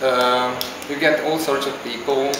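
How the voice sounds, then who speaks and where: A man from Hungary, answering interview questions in a halting, casual way as he searches for words. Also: medium pitch, fairly even intonation, background noise. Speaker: interviewee, visiting traveler. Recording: very echoey with clear background ambience.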